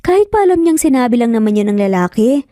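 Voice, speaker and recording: A woman's voice: a little high, sing-song, fast, mellow, dry recording, studio quality. A woman with a Filipino accent narrating a scripted romance story as a storyteller.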